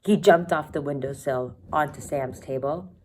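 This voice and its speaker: A woman teacher reading a children's novel aloud expressively, pausing to think aloud and comment for her students. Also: deep voice, noisy background. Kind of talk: read-aloud storytelling with asides to students.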